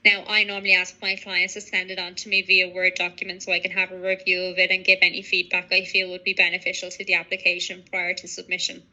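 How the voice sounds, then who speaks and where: A woman's voice: lowish voice, completely flat delivery, quick pace, few pauses, pushed and bright, poor audio. A woman working as a legal adviser, methodically explaining procedures to a client on a professional call. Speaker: legal adviser on citizenship applications.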